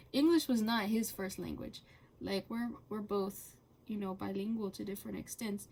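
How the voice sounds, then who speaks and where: A woman chatting casually and rambling while coloring, halting often with fillers and laughing between thoughts. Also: mid-range voice, creaky, breathy edge. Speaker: channel host.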